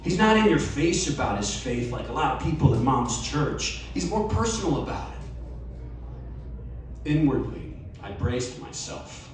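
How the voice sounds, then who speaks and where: A man giving a live reading of a personal story to an audience, earnest and sometimes slowing to a hushed tone. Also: mid-range voice, big pitch swings, slight background noise. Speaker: story reader. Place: live reading event in a bar. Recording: echoey room, distant mic.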